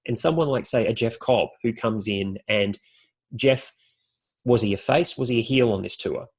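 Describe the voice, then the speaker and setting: A man's voice: mid-range voice, moderate pace, breathy edge. An Australian man speaking as a podcast guest and announcer, explaining things articulately and building his points in lists. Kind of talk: podcast interview talk.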